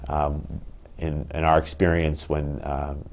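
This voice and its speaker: Deep-voiced man explaining a policy dispute. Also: flat delivery, slight background noise. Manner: measured, thoughtful, with frequent ums and restarts. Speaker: union representative. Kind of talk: interview answers. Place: indoor office with wood paneling.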